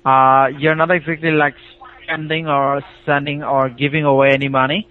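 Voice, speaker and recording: A man's voice: a little high, level tone, normal speed. A man with an Indian accent talking over the phone as a caller, explaining a procedure in an insistent, repetitive, persuasive way. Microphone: telephone call. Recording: tinny, band-limited phone line.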